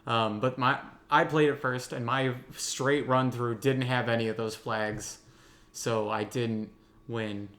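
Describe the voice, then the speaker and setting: A man with a mid-range voice hosts a relaxed group discussion. He phrases things hesitantly and drops in wry little asides. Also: medium pitch, takes it slowly. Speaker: host of a roundtable podcast. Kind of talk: casual discussion.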